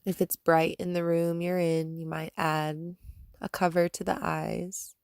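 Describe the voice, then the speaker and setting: Female meditation guide: calm and gentle, very unhurried, lowish pitch, long pauses between instructions. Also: vocal fry, clean tone, dry recording, clean studio sound. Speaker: yoga and meditation teacher.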